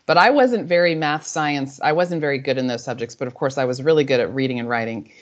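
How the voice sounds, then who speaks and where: Low-voiced woman, native Spanish speaker. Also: fast talker. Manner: warm, reflective storytelling with frequent restarts. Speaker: author and guest. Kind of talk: interview answers. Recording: slightly dull lo-fi audio.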